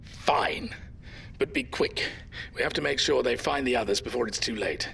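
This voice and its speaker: An actor playing a wry, plucky old grandfather in an audio drama, dry and gently defiant in manner. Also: mid-range voice, normal speed, gravelly, slight background noise. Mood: wry, amiable. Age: older.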